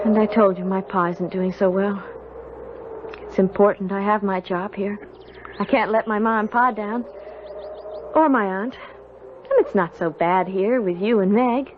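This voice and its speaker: A woman's voice: medium pitch, measured pace, long pauses, noisy background, natural voice. An actress playing an earnest young servant girl who tells her tale in a radio drama. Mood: earnest, reassuring. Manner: earnest, storytelling. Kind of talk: 1970s radio drama narration and dialogue. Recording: dull old radio recording. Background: prominent music.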